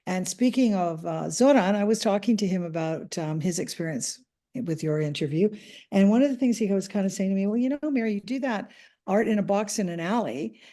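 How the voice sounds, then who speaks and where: A woman's voice: medium pitch, lively intonation, fairly quick, mellow. A Canadian woman, a podcast guest, answering interview questions in a chatty, hesitant way with many ums and restarts. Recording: audio podcast remote recording.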